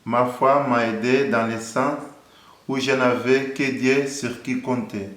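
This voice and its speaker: A man in his thirties from Angola, a Portuguese speaker, calmly and earnestly sharing his faith story in an interview. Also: medium pitch, slow pace, echoey room. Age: 30s. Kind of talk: personal faith testimony.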